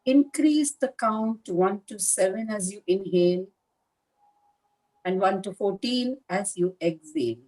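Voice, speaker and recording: A woman leading a guided meditation as a teacher, speaking in a calm, measured manner with long pauses between short phrases. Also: medium pitch, poor audio. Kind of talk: guided meditation instruction, live session.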